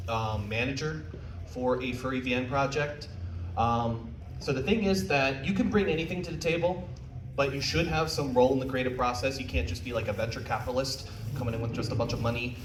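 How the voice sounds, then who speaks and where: A man giving an informal, jokey live talk as a panel presenter, taking questions in a conversational way. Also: mid-range voice. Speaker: convention panel presenter, visual novel developer. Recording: roomy, distant mic, faint background noise.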